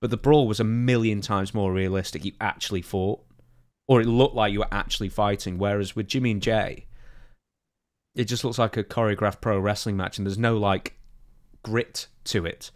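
A man's voice: on the low side, fairly even intonation, normal speed. A man hosting a podcast, giving opinions in casual, informal chat. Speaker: podcast host.

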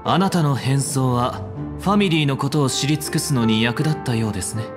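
A man voicing a composed, elegant young head of a family in a video game, speaking formally and deliberately with quiet menace. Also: mid-range voice, music in the background, lightly acted. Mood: calm, accusing. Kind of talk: dramatic video game voice acting.

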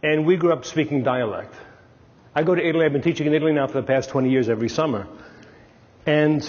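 A man's voice: fairly high pitch, darkish tone. New man lecturing in a hall. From Brooklyn, New York. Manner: storytelling, emphatic, gestures with his hands. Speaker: Jungian analyst giving a talk. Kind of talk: conference talk at a podium. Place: large dark stage, lecture hall. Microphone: clip-on lapel mic. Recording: lo-fi recording with long hall reverb.

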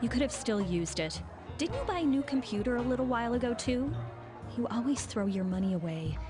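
An actress playing a stern, nagging girlfriend, pointed and matter-of-fact, in an animated game cutscene. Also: fairly high pitch, fairly quick, natural voice. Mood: scolding. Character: stern, nagging long-time girlfriend, composed and controlling. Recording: loud music under the dialogue.

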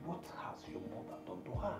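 An actor with a Nigerian accent playing an excitable, placating friend in a film drama, stammering and repeating himself as he pleads. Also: on the low side, a little fast, distant mic. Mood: frantic placating. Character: excitable male friend and fixer, frantic, placating. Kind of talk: Nollywood-style film drama acting. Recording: echoey room, music bed.

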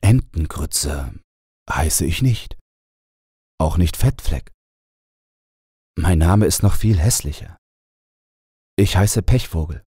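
A man's voice: sits low, expressive, slow pace, long pauses, dark tone, slightly rough, no room sound, studio quality, exaggerated voice. A man voicing a timid, sad, unlucky young man in a fairy tale, hesitant, plaintive and polite. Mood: miserable.

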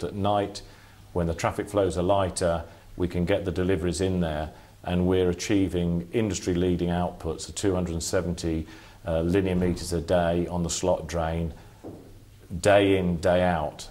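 Deep-voiced businesslike man. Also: mellow. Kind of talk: corporate interview talk. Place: indoor office with display boards.

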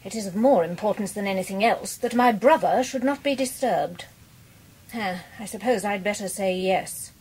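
A cold English spinster in a radio drama, acted by a woman. Her husky voice is haughty and grudging, full of stern disdain. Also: medium pitch, moderate pace, a little mellow, lightly acted, low-quality recording.

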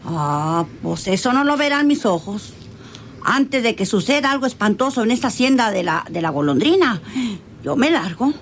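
An actress playing a scornful, sharp-tongued hacienda woman with a Mexican accent, theatrical and dismissive in a radio drama. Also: fairly high pitch, big pitch swings, moderate pace, a little hiss or hum. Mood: indignant, dismayed. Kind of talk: radionovela acting.

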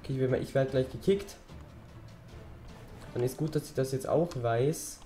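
A teenage boy talks his way through a game, rambling and chatty, his voice slightly hoarse from a cold. His tone is mellow and dark, and faint music plays behind him. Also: mid-range voice, moderate pace. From German-speaking Europe. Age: teens. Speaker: gaming channel host. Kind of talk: casual let's-play commentary.

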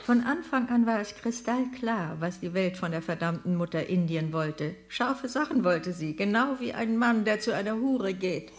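A woman's voice: mid-range voice, lively intonation, moderate pace, hardly any pauses, lightly acted. Casting: actress as brash mother for radio drama, scornful pronouncements, middle register. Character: brash, outspoken Indian mother.

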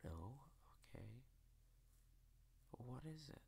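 A man whispering softly in a reassuring ASMR roleplay, close to the mic, with hesitant pauses. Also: medium pitch, very animated, a little hiss or hum. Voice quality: breathy whisper.

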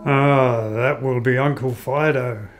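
A man playing the polite, measured grown son of a vampire family in an amateur radio play. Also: mid-range voice, fairly even intonation, heavy background noise, lightly acted. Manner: measured, explanatory.

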